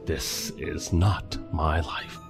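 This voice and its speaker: An actor playing a flustered, awkward man in an audio drama, halting and stammering, with music behind him. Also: a bass voice, steady tone, gravelly, exaggerated voice. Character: flustered, awkward man caught muttering to himself.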